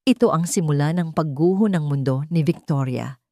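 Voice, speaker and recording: A woman narrating a scripted drama story in a measured, dramatic storytelling manner. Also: deep voice, warm tone, no room sound, studio quality.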